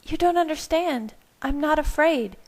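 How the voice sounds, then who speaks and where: A woman doing high, desperate young Bella. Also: a little slow, darkish tone. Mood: pleading. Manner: pleading, insistent.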